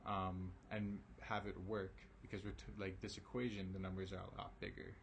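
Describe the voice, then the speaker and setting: Raspy low guy teaching algebra late at night, laid back, lots of okays, faint hiss behind. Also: flat delivery, moderate pace. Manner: casual, reassuring, many ums and okays. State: raspy, tired voice late in the day. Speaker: math teacher. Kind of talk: recorded math lesson.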